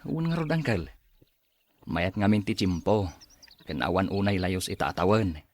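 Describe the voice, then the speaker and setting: A man voicing a humble farmer in a radio drama, lowish voice, lively up-and-down pitch, modest. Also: moderate pace. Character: humble hardworking young farmer. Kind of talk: audio drama acting.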